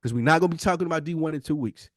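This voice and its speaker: A man's voice: a little high, racing, a bit bright, no room sound, studio quality. An American man co-hosting a podcast, arguing casually but emphatically in slangy speech, often in a lecturing way. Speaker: podcast co-host. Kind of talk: heated casual debate.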